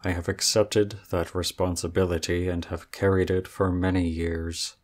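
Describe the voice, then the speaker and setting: A man's voice: low-pitched, little pitch movement, normal speed, lightly acted. A man playing a proud, aloof male dragon in human form, speaking formally and with cool reserve in an ASMR roleplay. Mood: reserved, reflective.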